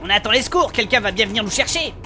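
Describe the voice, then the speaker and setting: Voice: mid-range voice, animated, very fast, sharp tone, soft background music. An actor playing a small, naive, cheeky adventurer in a comic fantasy audio drama, speaking casually.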